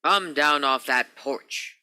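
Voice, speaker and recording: A man's voice: a little high, takes it slowly, bright, forceful tone, clear, resonant tone, no room sound, heavily acted. A man acting a stern, logical spaceship captain in a radio play, commanding and insistent. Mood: stern, alarmed. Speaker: actor in a student radio play.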